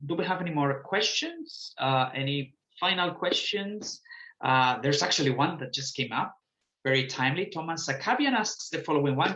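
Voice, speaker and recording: A man hosts an online talk from a roomy, slightly dull-sounding connection. His voice is bright and forward. He speaks effusively, in halting phrases with repeated words. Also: fairly high pitch. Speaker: event host and moderator. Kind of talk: online talk moderation, reading audience questions. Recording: muffled, echoey room sound.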